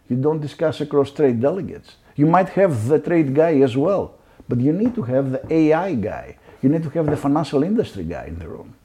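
A middle-aged man, a law professor, answering interview questions in an animated, talkative way with frequent asides. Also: on the high side, husky.

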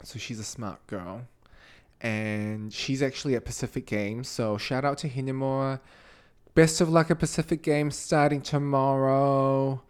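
Man, from Tonga, mid-pitched voice, campy and playful, casual podcast co-host. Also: fairly even intonation, a little slow, clean tone.

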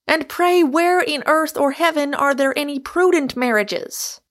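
A woman's voice: on the high side, lively intonation, a little slow, creaky, fairly bright tone, pitched above the performer's own voice, heavily acted. A woman voicing an exuberant, argumentative Irishman, a storybook suitor who declaims with great emphasis. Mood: impassioned.

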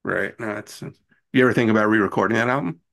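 Man, middle-aged, American accent, lowish pitch, heavy vocal fry, casual interviewer, agreeable, halting. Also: fairly bright tone. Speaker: interviewer, host.

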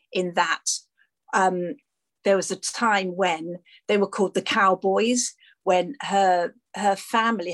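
Woman, fifties, Welsh-raised British accent; rambling, anecdotal interview guest. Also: mid-range voice. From Wales. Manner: warm, rambling anecdotal storyteller. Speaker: guest, former dancer. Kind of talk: interview storytelling.

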